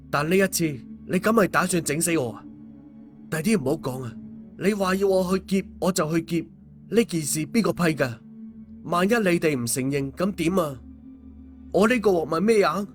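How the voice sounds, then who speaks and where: A male storyteller plays an excitable, cowardly man who complains and wheedles in speech. The voice rides high, in audiobook dialogue over faint music. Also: moderate pace, long pauses, lightly acted. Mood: indignant protesting.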